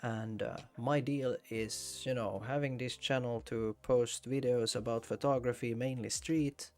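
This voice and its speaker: A man's voice: medium pitch, steady tone, a little slow, darkish tone. A man from Finland with a Finnish accent, hosting a casual photography livestream and thinking aloud with hesitations and repeated words. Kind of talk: casual chat while editing photos.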